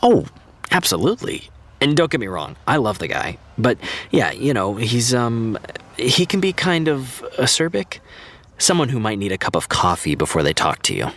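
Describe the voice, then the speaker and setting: A man's voice: medium pitch, big pitch swings, moderate pace, a bit bright. A man playing an easygoing, diplomatic actor in a naturalistic audio drama, casual and hedging as he talks. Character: easygoing, diplomatic actor mediating between friends.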